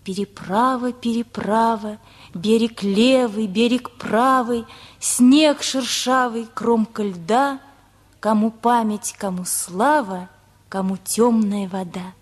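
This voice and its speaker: Hopeful teen girl in a radio drama, highish voice, slightly husky, dreamy. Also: fairly even intonation, takes it slowly. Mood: reciting verse. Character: dreamy hopeful teenage girl, would-be actress. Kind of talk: radio play acting.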